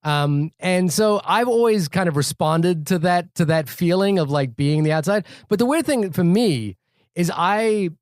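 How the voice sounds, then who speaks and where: A man co-hosting a casual film podcast, talking in animated, rambling bursts whose pitch jumps up as he starts each point. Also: measured pace, no room sound, clean studio sound. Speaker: podcast co-host. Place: New York.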